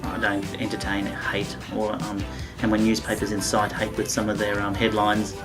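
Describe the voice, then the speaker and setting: A man's voice: on the low side, monotone, normal speed, music in the background. A man, giving informal interview answers.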